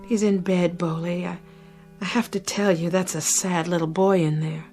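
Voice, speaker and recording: An actress playing a gentle, caring woman, soft and concerned, in a radio drama. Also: fairly low pitch, steady tone, normal speed, faint music behind, lightly acted. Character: gentle, caring woman worried about a hurt boxer.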